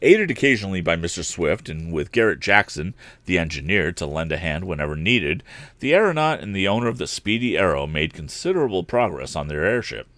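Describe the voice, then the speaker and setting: Male storyteller, lowish pitch, highly animated intonation, expressive novel narration, close dry sound. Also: a little fast, no echo. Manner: expressive read-aloud delivery. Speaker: storyteller, live stream host. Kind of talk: audiobook-style narration of adventure novel.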